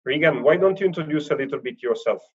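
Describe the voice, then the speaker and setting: A man's voice: fairly high pitch, animated, quick pace, breathy edge. A man moderating a panel, introducing speakers formally with occasional fillers. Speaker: panel co-chair. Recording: dull lo-fi sound.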